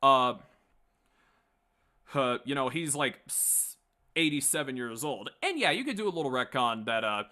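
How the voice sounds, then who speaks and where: A man's voice: mid-range voice, lively intonation, normal speed, pauses often, pushed and bright. A man hosting a casual, opinionated commentary show, talking informally in a rambling, stop-start and emphatic way. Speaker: host of a late-night pop culture commentary show.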